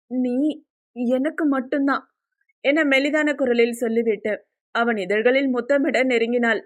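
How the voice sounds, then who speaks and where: A woman reading a scripted audio novel as a dramatic storyteller. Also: fairly high pitch.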